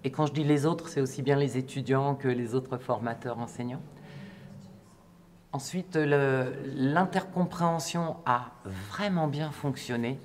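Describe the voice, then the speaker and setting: A man giving a reflective interview, speaking hesitantly and deliberately as a teacher-trainer. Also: fairly high pitch, slight background noise.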